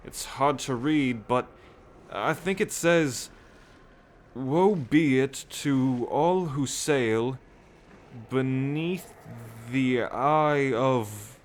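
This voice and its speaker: A man in an audio drama playing an alert ship's lookout, calling out sightings in a drawn-out way. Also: mid-range voice, a little hiss or hum. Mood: tense, alert. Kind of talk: cinematic audio roleplay acting.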